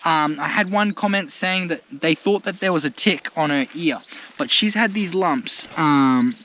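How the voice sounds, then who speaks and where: A man's voice: on the high side, a little slow, bright, forceful tone. A man with an Australian accent chatting casually to viewers as a travel vlogger, in an informal, rambling, slangy way. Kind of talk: casual chat to camera. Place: outdoors in rural bush campsite. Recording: noisy outdoor recording with birdsong. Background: birds and background noise.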